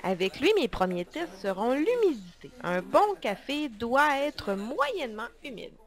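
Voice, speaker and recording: A woman's voice: on the high side, sing-song, measured pace. A woman voicing a curious young coffee bean who chats away as the narrator of a storybook. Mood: chatty. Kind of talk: amateur storybook voice acting.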